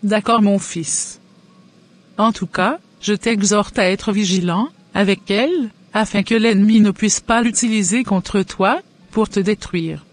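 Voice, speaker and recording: A woman's voice: medium pitch, unhurried, natural voice. A woman voicing a cautious, pious mother of a grown son, admonishing in a scripted cartoon dub.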